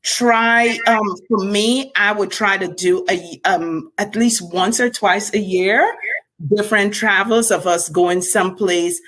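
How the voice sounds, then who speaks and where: A woman's voice: medium pitch, steady tone, unhurried, fairly bright tone. A woman from Guyana with a Guyanese accent, chatting casually and reflectively as an interview guest.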